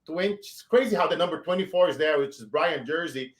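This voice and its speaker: A man in his forties with a Brazilian accent, hosting a show and giving emphatic motivational shout-outs. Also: on the high side, a little fast. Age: 40s. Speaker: show host. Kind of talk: motivational interview wrap-up.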